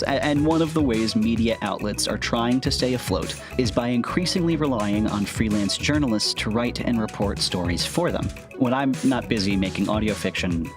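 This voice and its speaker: A man hosting a podcast, giving an informal, conversational and self-deprecating introduction. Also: on the low side. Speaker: host and audio fiction writer. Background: music with guitar.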